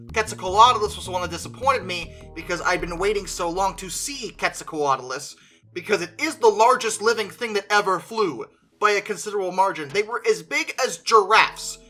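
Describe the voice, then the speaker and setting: This is an opinionated reviewer speaking conversationally in a bright, punchy voice of medium pitch. Key words get stretched and stressed for emphasis. Faint music plays behind the commentary. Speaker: video creator and host.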